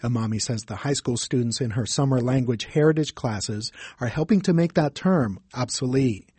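Dark husky male news reporter. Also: mid-range voice, moderate pace, no room sound. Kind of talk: scripted news narration.

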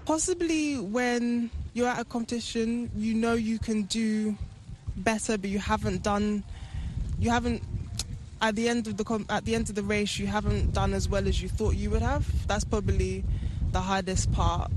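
A teenage girl with a London accent giving soft, hesitant interview answers. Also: medium pitch, steady tone, normal speed, noisy background. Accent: London English. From England. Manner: soft-spoken, hesitant, many fillers. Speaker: interviewed athlete.

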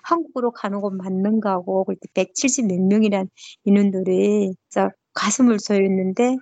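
Interviewee: North Korean-accented woman in her thirties, mellow dark voice, emotional halting storytelling, muffled dry lo-fi capture. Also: mid-range voice, fairly even intonation, dry recording. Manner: emotional storytelling, frequent fillers and restarts. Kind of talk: personal recollection in an interview. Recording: dull lo-fi dry recording.

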